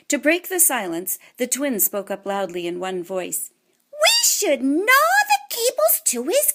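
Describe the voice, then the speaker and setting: A woman narrating a children's audiobook in an expressive, scripted storytelling manner. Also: mid-range voice.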